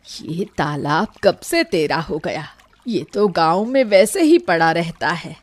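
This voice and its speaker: A woman playing a caring, practical old village aunt in a Hindi animated moral story, speaking in a measured, plain way. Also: lowish voice, no room sound. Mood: protesting.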